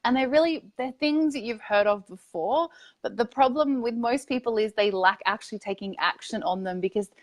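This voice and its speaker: A woman's voice: fairly high pitch, moderate pace. A woman guest on a casual online interview, speaking as a spiritual coach, blunt and upbeat. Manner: emphatic, blunt, upbeat, laughs easily.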